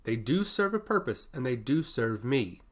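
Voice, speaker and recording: A man voicing a stern, domineering sea god in a fantasy audiobook, speaking in a stoic, deliberate and commanding manner. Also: mid-range voice, big pitch swings. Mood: aggressive.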